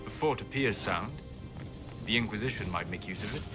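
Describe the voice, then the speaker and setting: An actor playing a calm, scholarly male mage companion who speaks in a measured, dry way in video game dialogue. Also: on the low side, lightly acted. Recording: game audio with loud music.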